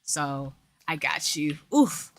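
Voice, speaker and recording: Chatty young woman with a bright voice. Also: low voice, expressive, slow pace, gravelly. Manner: rambling and chatty, many asides and fillers. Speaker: game streamer. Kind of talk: casual chat with stream viewers while gaming.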